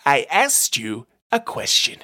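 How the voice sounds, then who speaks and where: Brash, crude detective tough guy, swaggering and loud, voiced by a man narrating. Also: medium pitch, huge pitch swings, takes it slowly, full character voice. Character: brash, blustering male detective, crude and self-assured.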